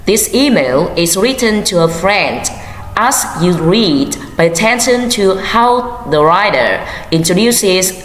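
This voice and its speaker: A woman English teacher giving calm, measured classroom instructions. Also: lowish voice. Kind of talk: slow scripted classroom instruction. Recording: echoey hall with faint noise.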